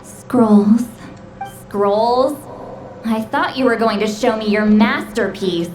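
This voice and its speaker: A woman voicing a proud, prickly young shaman in a video game, speaking in a brisk, haughty way. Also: on the high side, darkish tone, soft background music. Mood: impatient, prickly. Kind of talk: video game character acting.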